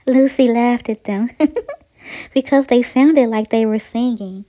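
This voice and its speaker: High-pitched, slow woman storyteller. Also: fairly even intonation, dark tone. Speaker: children's storyteller. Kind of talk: expressive storybook read-aloud.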